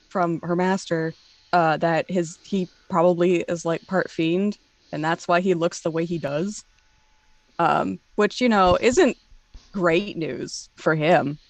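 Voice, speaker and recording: A woman's voice: lowish voice, no echo. A woman player on a tabletop game show giving a casual, conversational recap.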